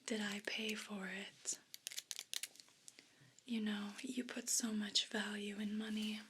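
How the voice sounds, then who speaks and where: A woman voicing a haughty, possessive evil pet cat in an ASMR role play, whispering in a breathy, condescending, complaining way. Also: medium pitch, flat delivery, noisy background, lightly acted. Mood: smug, possessive. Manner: slow, deliberate, condescending, disdainful.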